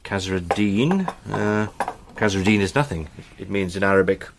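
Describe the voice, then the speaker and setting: An actor playing a cheerful, adventurous gentleman in a radio drama, breezy and full of old-fashioned slang. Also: low voice, normal speed, creaky, noisy background. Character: adventurous upper-class British gentleman, cheerful.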